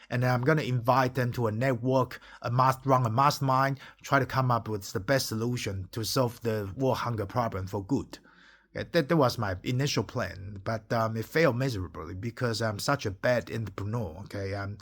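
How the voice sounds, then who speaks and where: A man's voice: mid-range voice, fairly bright tone. Forties man, Taiwanese and Mandarin native, from Taiwan; emphatic vlog monologue, keeps checking in with "okay?", swears in places. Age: 40s. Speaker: entrepreneur vlogger. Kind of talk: impassioned monologue to camera.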